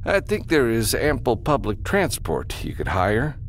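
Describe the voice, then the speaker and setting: A man plays an aloof criminal businessman in a science fiction audiobook. He speaks with formal, condescending courtesy in a lively, measured delivery, with a slightly creaky edge. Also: medium pitch, slight background noise, pitched above the performer's own voice. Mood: cool, polite. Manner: formal, coolly polite, condescending. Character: smooth, aloof criminal businessman in a cowboy hat.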